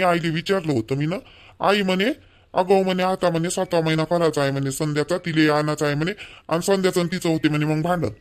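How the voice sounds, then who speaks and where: A man acting a high-pitched, scolding village husband. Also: close to an everyday voice. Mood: reproachful. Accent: Vidarbha Marathi. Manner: blunt, nagging, repetitive complaining. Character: scolding, fault-finding young village husband. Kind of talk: amateur cartoon dialogue in rural dialect.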